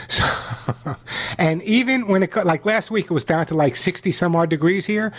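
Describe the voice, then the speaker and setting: A man hosting a call-in radio pet show, from New York, talking fast and repeating himself as he pitches products and gives advice. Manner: rapid, repetitive sales pitch and chatty advice.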